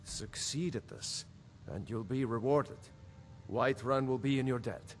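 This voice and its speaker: An actor playing a stern, commanding city ruler in a fantasy video game, blunt and authoritative. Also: male voice, mid-range voice, measured pace, lightly acted. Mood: urgent. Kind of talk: scripted video game dialogue.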